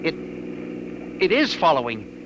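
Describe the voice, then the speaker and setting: A man's voice: on the high side, wildly swooping pitch, measured pace. A man playing a nervous, deferential passenger in a radio-play drama, speaking hesitantly and anxiously. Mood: alarmed. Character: nervous, deferential male passenger being pursued. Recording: dull lo-fi, loud engine noise.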